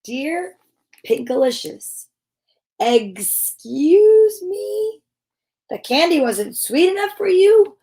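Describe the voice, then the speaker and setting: Woman playing male storybook rabbit, haughty, huffy, drawn-out emphasis, high swooping voice. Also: sing-song, dark tone, full character voice. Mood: affronted.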